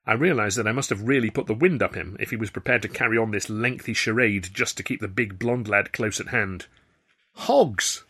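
A man reading his own novel aloud in the first person, with a wry, lively storytelling manner. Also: fairly low pitch, brisk, slightly rough. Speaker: author and narrator. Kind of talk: audiobook narration, first person.

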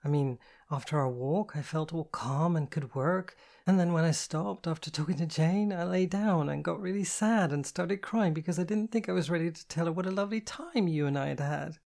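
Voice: mid-range voice, moderate pace, dark tone, voice pushed up, lightly acted. A narrator voicing an anxious, tearful young woman who speaks in a hesitant, apologetic way in an audiobook.

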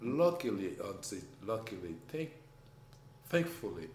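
Man, French accent, casual talk, emphatic and animated, echoey room with faint noise. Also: unhurried. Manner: animated, emphatic, rising pitch when making a point. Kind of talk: casual conversation.

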